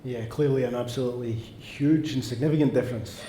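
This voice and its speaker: A man's voice: medium pitch, steady tone, a little fast, warm tone, reverberant, a little hiss or hum. A man with a Scottish accent, answering fans' questions on a panel in an earnest, emphatic, long-winded way. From Scotland. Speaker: football director on a panel. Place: indoor event room.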